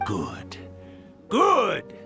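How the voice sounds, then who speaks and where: An actor voicing the mischievous, theatrical ghost of a mighty old fox yokai in a video game, with teasing, drawn-out delivery. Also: male voice, on the high side, wildly swooping pitch, music in the background, heavily acted. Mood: gloating, menacing.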